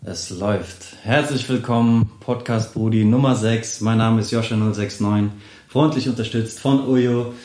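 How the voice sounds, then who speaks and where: A man's voice: fairly low pitch, fairly even intonation, moderate pace, a little mellow. A man hosting a podcast, reading a scripted intro and then asking his guest friendly, casual questions with plenty of fillers. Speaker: podcast host. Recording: roomy echo.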